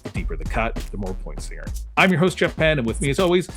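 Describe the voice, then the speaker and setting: A man's voice: on the high side, sing-song, quick pace, fairly bright tone, faint music behind. A man hosting a podcast in a casual, conversational style. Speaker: podcast host.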